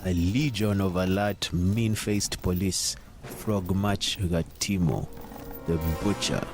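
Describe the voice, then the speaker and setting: A man narrating an audio drama in a theatrical, measured, suspenseful way. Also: sits low. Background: music.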